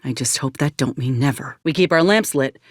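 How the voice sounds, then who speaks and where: An actor, a woman, playing an earnest, steadfast ex-soldier in a space frontier video game, speaking in a plainspoken, measured drawl. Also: a bass voice, no echo, clean studio sound. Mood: earnest, hopeful. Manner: folksy, plainspoken, measured delivery. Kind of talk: video game character dialogue.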